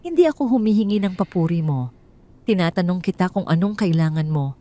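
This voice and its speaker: A woman's voice: fairly low pitch, expressive, brisk, dark tone, close to an everyday voice. A composed Filipino ex-wife from an audio drama, acted out by a woman storyteller. She is cold and curt, and keeps every line firmly controlled. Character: composed, cold ex-wife confronting the man who wronged her.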